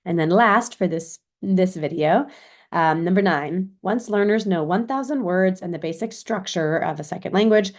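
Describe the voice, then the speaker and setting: A woman's voice: fairly low pitch, moderate pace. A woman from Chicago with an American accent giving an informal recorded lecture as a university instructor, explaining things and often checking in with "right?". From Chicago, United States.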